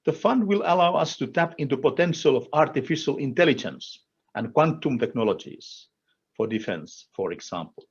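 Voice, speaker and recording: A man from Finland with a Finnish accent delivers a formal policy speech as an official, in deliberate, emphatic short phrases. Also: mid-range voice, expressive, husky, highs cut off. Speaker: European Commission official speaking at a conference.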